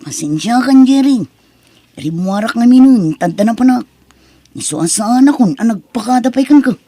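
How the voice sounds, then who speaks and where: A woman's voice: a little high, lively intonation, moderate pace, mellow, no room sound. A woman playing a cheeky, sociable young male friend in an Ilocano drama, with a teasing, casual manner. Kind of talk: Ilocano radio-style drama acting.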